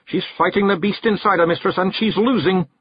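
A man voicing a tiny, brash, excitable fairy warrior with a Scottish accent and blunt, emphatic dialect talk, in a storybook. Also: sits high, moderate pace, slight background noise, pitched above the performer's own voice. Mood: urgent, loud. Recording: dull, lo-fi recording.

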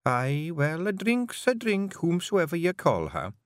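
A man reading aloud and voicing a small, fierce female fairy clan leader in a Scottish accent, coaxing and brisk. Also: a little high, animated, no echo, studio quality, natural voice. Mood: soothing. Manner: brisk, coaxing, then shouting.

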